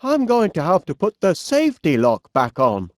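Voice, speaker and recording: An actor playing a cheery, absent-minded middle-aged inventor with a Northern English accent, bumbling and chatty in an animated comedy game. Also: male voice, sits high, moderate pace, no room sound, clean studio sound. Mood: genial musing. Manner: bumbling, chatty, hesitant, mild exclamations.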